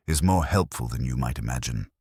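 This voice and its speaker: Man playing a deep, methodical English police detective. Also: animated, darkish tone, slightly rough, no room sound, studio quality, full character voice. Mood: courteous, probing. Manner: measured, probing, deliberate. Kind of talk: dramatised mystery audiobook.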